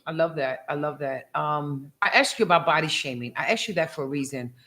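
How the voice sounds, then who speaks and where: A woman's voice: deep voice, expressive, a little fast. Affirming interviewer woman in her fifties. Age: 50s. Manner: warm, affirming questioner who repeats herself. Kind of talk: conversational interview.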